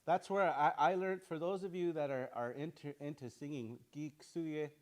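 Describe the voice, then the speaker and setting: A man teaching an online language class, drilling words over and over in a relaxed, informal way and chuckling often. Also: fairly high pitch, normal speed.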